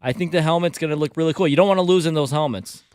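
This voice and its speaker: A man's voice: fairly high pitch, very fast, darkish tone, smooth, no room sound, studio quality. A man co-hosting a sports radio show, chatty and teasing.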